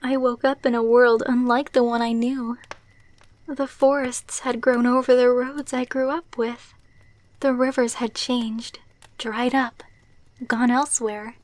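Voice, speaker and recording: Slow, soft, wistful vampire girlfriend played by a woman. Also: a little high, level tone, close to an everyday voice. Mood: somber, reflective. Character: gentle, wistful female vampire girlfriend, decades old. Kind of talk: ASMR roleplay.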